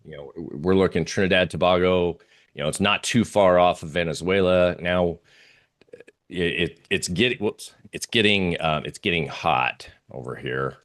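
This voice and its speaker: A man giving unscripted analysis as an interview guest, talking through slides in a halting, rambling way with pauses and repeated phrases. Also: low voice, fairly bright tone. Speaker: interview guest, geopolitical oil trading analyst.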